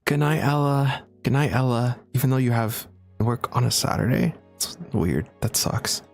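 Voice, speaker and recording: A young man chatting casually on a livestream, answering viewer questions in a relaxed, chatty way with frequent laughs and fillers. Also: mid-range voice, normal speed, faint music behind. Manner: laid-back, chatty, laughs often, many fillers. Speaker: streamer.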